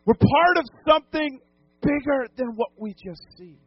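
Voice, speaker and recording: A high-pitched male pastor from New England preaches to his congregation, pressing his points through repetition and direct questions. The recording sounds dull and lo-fi, as if taken in a church sanctuary. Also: expressive, normal speed, no room sound, soft background music. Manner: emphatic, repeats phrases, asks the congregation questions. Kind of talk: sermon.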